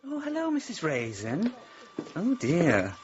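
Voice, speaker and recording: An actress playing a snide, unhelpful hair salon receptionist in a radio comedy drama, offhand and insinuating. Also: female voice, lowish voice, huge pitch swings, slow pace, lo-fi sound, crowd noise, heavily acted.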